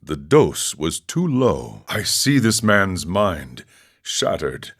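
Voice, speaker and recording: An actor playing a serene, eccentric ascetic monk in a video game, speaking in a measured, philosophical way with formal phrasing. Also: male voice, low-pitched, big pitch swings, slightly rough, heavily acted. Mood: wry, musing. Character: serene, eccentric ascetic drug-taking monk who relishes suffering. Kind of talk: scripted game character dialogue.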